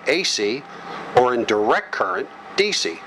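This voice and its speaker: A middle-aged American man giving an instructional lecture, explaining in short, measured phrases. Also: mid-range voice, big pitch swings, pushed and bright, slight background noise, low-quality recording. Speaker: electrical training instructor. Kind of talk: instructional lecture read from slides. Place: small office or classroom with whiteboard, indoors. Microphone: lapel microphone.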